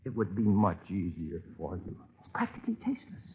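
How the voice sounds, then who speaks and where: An actor playing a polite, regretful, cold-blooded murderer with genteel, apologetic manners in a 1940s radio thriller. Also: male voice, low-pitched, huge pitch swings, a little fast, slightly rough, heavily acted. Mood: regretful menace. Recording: muffled old 1940s radio recording.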